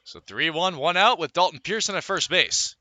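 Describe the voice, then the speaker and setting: A man calls a baseball game live on the radio. His voice is strikingly bright and sharp, sitting a little high for a man. He describes the play in measured phrases, leaving pauses between calls. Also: lively intonation, dry recording. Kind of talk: live sports commentary.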